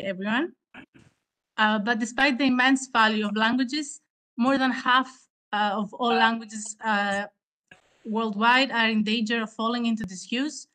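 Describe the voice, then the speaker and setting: Greek woman presenter, clear bright voice, earnest and hesitant. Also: a little high. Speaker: award-winning student presenter. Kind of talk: formal online conference presentation.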